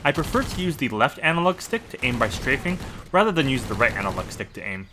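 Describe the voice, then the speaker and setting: A man's voice: medium pitch, very animated, fast, background noise. A man giving informative gameplay commentary, explaining things methodically as a walkthrough narrator and adding his own opinions.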